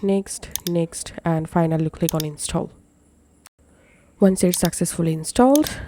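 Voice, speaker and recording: A tutorial presenter narrating software steps in an instructional way, with slightly non-native phrasing. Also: medium pitch, slight background noise.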